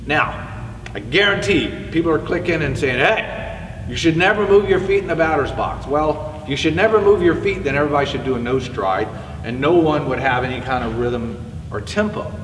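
A man's voice: fairly high pitch, moderate pace, fairly bright tone, slight background noise. A middle-aged man teaching baseball hitting to the camera in a casual, conversational way, moving about and showing each point with a bat. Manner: conversational, demonstrating with a bat while he talks. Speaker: hitting instructor. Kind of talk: spoken coaching lesson to camera. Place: indoor batting cage with netting. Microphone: far camera. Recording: echoey indoor facility, distant mic.

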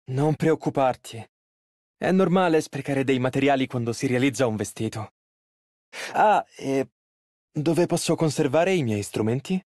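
A man's voice: a little high, darkish tone, dry recording, clean studio sound. An actor playing a polite, earnest and easily flustered young male tailor in an Italian game dub. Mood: earnest, focused. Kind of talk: video game character voice lines, Italian dub.

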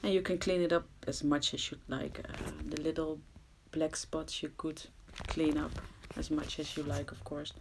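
Dutch-accented woman, low gravelly voice. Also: flat delivery, moderate pace, few pauses, noisy background. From Netherlands. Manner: hesitant, self-deprecating, drops to murmurs. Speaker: crafting tutorial maker. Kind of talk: casual screen-recorded tutorial. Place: at someone else's home.

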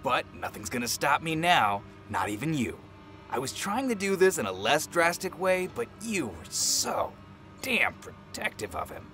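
A man's voice: fairly high pitch, very animated, a little slow, over music. A man playing a greedy, volatile scientist villain in an audio drama. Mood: menacing, scornful. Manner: volatile, sneering, swings from menace to shouting. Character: greedy, threatening male scientist turned desperate villain. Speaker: voice actor.

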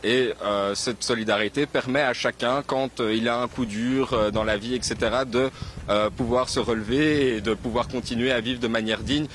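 Young male street interviewer, mid pitch, chatty and explanatory, light outdoor hiss. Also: fairly even intonation, normal speed, a bit bright. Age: young adult. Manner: explanatory, many fillers. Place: outdoors on a city square. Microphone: handheld mic. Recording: faint street noise outdoors.

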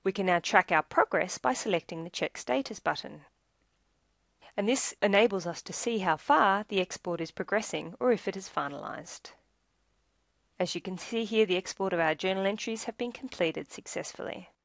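A woman reading scripted instructional narration for a software tutorial in a measured, explanatory way. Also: mid-range voice, expressive, gravelly, dry recording.

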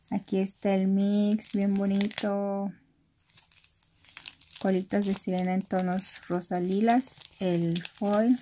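A young Mexican woman talks softly and slowly, casually narrating a craft unboxing. Her voice stays low in volume, and there is faint noise with packaging crinkling around her. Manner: soft-spoken, keeping voice down because others are sleeping. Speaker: craft vlogger. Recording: noisy room with handling rustle and crinkling. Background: clicks, crumpling and crinkling of packaging.

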